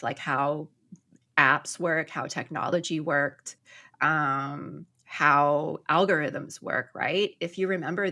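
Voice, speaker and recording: A woman's voice: deep voice, pushed and bright. A young woman talking through political news in a casual, loose way, full of ums and likes, often checking in with "right?". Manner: filler-heavy, ends points with "right?". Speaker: political commentator.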